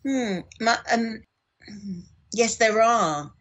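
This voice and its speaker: A woman's voice: fairly high pitch, very slow, a bit bright, dull audio. A woman guest on a podcast, reflectively discussing literature and tentatively thinking aloud as she goes.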